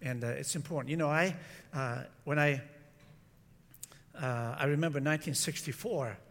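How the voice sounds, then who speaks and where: A middle-aged man from San Francisco preaching as a guest in an informal, halting, conversational way, often correcting himself. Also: a little high, creaky, fairly bright tone. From San Francisco, United States. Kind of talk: informal church talk with personal testimony. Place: large room, church service. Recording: echoey hall with long reverb.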